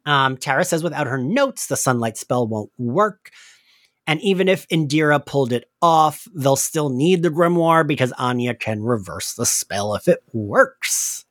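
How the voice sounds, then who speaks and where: A man talks as a podcast host in a casual, chatty recap style. His pitch moves around in an animated way, and his phrases are padded with "like." The sound is dry and close. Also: unhurried, no room sound. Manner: animated, chatty, filler-heavy with frequent "like".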